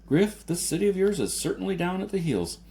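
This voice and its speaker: A man's voice: sits high, big pitch swings, fairly quick, dark tone, voice pushed up. A man voicing a sardonic, irritated adult man in a science fiction audiobook, with a sarcastic manner. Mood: weary, needling.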